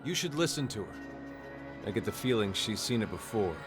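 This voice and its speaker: A man's voice: on the low side, big pitch swings, a little fast. Jaded young man, music behind. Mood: cool, knowing. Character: jaded, cynical young man in a death game.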